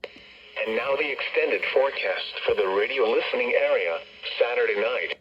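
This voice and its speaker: A man's voice: mid-range voice, moderate pace, slightly rough, muffled recording. A man's voice reading a formal, scripted weather forecast as an announcer, heard through a radio speaker. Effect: played through a radio speaker. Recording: noisy weather radio, picked up in room.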